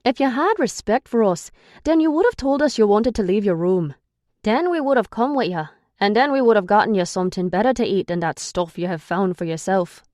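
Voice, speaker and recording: A gruff, sarcastic young man who guards a captive and has no patience for her, as a woman narrator puts him on. His lines come out raised, pushed and scolding, higher than her own reading voice, recorded close and dry. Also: lively intonation, brisk, a little mellow, dry recording, lightly acted. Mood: stern. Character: irritable sarcastic young male captor.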